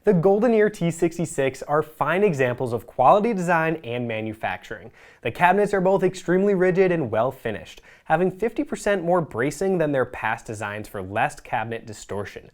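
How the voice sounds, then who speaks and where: A presenter reading a scripted product review in a measured, explanatory way. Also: mid-range voice, a little mellow.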